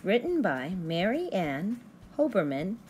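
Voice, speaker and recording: A young woman teacher reading aloud to children with deliberate, expressive enunciation. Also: medium pitch, a little hiss or hum.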